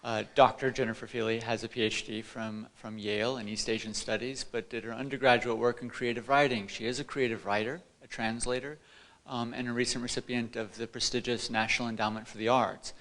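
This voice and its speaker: A man presenting at an award ceremony, giving a semi-formal introduction with hesitant pauses. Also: mid-range voice, flat delivery, a bit bright. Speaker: event presenter introducing a reader.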